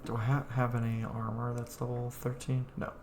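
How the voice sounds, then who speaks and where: A man's voice: mid-range voice, completely flat delivery, normal speed. A man giving casual gameplay commentary as a streamer, often muttering to himself. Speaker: game streamer. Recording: roomy, noisy with game sounds. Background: video game ambience.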